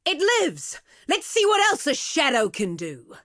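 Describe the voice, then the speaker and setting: A woman's voice: sits high, wildly swooping pitch, a little slow, a bit bright, exaggerated voice. An actress playing an arrogant, ruthless female villain in video game combat, taunting and imperious. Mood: cool taunting.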